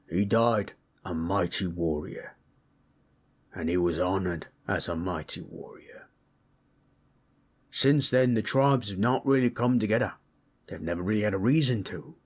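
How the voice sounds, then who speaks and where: A man voicing a gentle, proud orc warrior in an intimate audio roleplay, telling tales in a folksy, rough-hewn, husky way. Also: fairly low pitch, a little slow, long pauses. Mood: earnest, resolute. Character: gentle, proud male orc warrior storyteller, affectionate, teasing. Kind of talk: intimate comfort roleplay storytelling.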